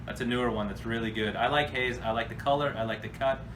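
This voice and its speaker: A man's voice: mid-range voice, steady tone, fast talker. A man from the United States hosting a casual, off-the-cuff question-and-answer chat in a blunt, slangy, informal way. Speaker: host answering viewer questions. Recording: distant mic with clear background noise.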